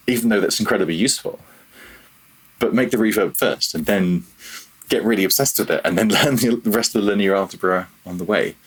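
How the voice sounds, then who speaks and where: A man with a very low-pitched voice that is a little husky. He talks as a podcast guest, reflective and informal, telling stories and acting out small quoted asides as he explains. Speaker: podcast guest, audio developer. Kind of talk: thoughtful conversational interview.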